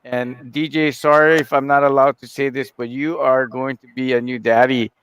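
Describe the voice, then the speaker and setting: A man's voice: medium pitch, level tone, normal speed, fairly bright tone, a little hiss or hum, poor audio. A man who heads the organization, chatting casually on a live talk show, cracking jokes and restarting his sentences with fillers. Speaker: head of the organization. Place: live broadcast studio.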